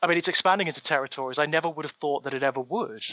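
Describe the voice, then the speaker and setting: Bright-voiced man, articulate podcast co-host. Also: a little high, dry recording, muffled recording. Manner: measured, articulate, slightly formal. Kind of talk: casual podcast conversation.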